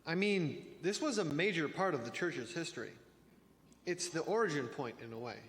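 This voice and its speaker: A man playing a bookish, measured know-it-all youth in a church reader's theater. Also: a little high, expressive, fairly bright tone. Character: bookish youth, a self-declared trivia champion. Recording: noisy reverberant hall.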